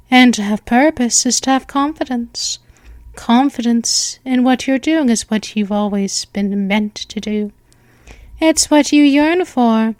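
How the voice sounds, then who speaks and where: A woman voicing a slow, soothing vampire mistress. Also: on the high side, a little mellow, no echo. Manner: soothing, hypnotic, slow and coaxing. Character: ancient, calm, seductive female vampire mistress. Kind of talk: hypnosis ASMR roleplay.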